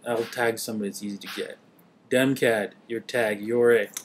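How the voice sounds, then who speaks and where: A man in his thirties, born in Trinidad and raised in Canada, chatting casually to a webcam from a small home office, rambling with many filler pauses. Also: fairly low pitch, steady tone. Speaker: vlogger. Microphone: webcam at desk.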